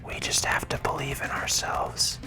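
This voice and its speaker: An ASMR gaming host whispering soothing, encouraging commentary to viewers in a breathy voice, close to the microphone. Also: normal speed, music in the background.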